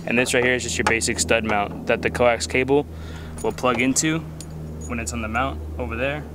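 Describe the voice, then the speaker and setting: A man's voice: mid-range voice, fairly even intonation, fairly quick. Man presenting over noisy outdoor background. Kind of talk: casual product walkthrough.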